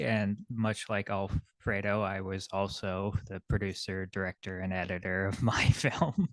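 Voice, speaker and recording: A man filmmaker introducing his short film on a video call in a hesitant, halting way. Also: fairly low pitch, no room sound. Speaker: filmmaker guest.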